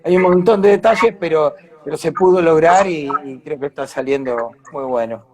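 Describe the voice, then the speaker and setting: Argentine man chatting, slightly high voice. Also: normal speed, a little mellow. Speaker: interview guest, actor. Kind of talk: casual chat. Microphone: internet live video call.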